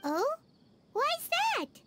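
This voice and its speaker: A woman voicing a tiny, childlike, excitable fairy companion in a video game, with a squeaky voice. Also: extremely slow, heavily acted. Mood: puzzled. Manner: refers to self in third person, exclaims. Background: music.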